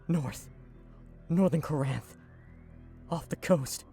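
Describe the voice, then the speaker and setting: An actor playing a haunted young man recounting his captivity in a hesitant, halting way. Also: on the high side, warm tone, faint music behind. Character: haunted young male witness, former captive.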